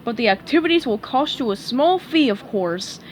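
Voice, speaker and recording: A woman reading a storybook aloud, voicing a greedy, jolly old male crab who runs a restaurant, with a gruff pirate-like bluster. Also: fairly high pitch, expressive, normal speed, slight background noise, close to an everyday voice.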